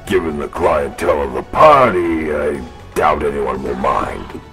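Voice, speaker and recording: Abyssal, gravelly robot bartender. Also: male voice, a bass voice, expressive, a little slow, a bit bright, faint music behind, full character voice. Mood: gruff, dry. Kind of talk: animated sci-fi character acting.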